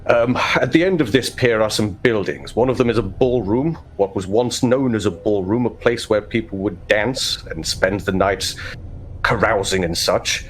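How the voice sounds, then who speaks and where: A man acts out a stern vault overseer for a tabletop game. His voice sits on the low side, and he gives orders with pompous, formal, measured authority. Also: slight background noise, natural voice. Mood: commanding, formal. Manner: formal, measured, stiff-upper-lip.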